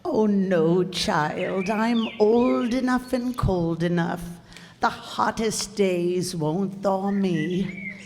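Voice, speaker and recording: An old widow of seventy-five , played by a woman, in a radio play. She speaks slowly and formally, stammering when flustered, proud and easily wounded. The recording carries a hall's long echo and some noise. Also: mid-range voice, darkish tone. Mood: gently chatty. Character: elderly widow, 75, indignant, wistful, proud of her girlhood. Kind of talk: stage radio-play acting. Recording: echoey hall, noisy live stage recording.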